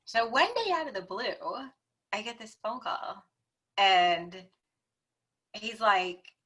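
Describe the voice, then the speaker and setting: A middle-aged woman, a podcast guest, telling her personal story in a chatty, expressive way, acting out her own reactions. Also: mid-range voice, slow, slightly rough.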